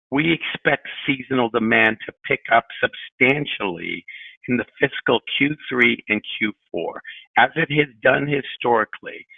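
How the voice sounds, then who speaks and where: A middle-aged man speaking as a company chief executive, giving a formal, measured earnings presentation in deliberate phrases. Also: medium pitch, creaky, no echo. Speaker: company president and CEO. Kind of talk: prepared corporate earnings presentation. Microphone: telephone on a conference call. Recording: narrowband tinny phone line.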